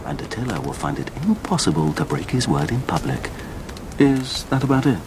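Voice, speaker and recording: A suave, sardonic warlord's secretary over noise. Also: male voice, fairly low pitch, big pitch swings. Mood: dry, probing. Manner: urbane, measured, dryly ironic. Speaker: actor. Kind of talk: historical radio drama acting.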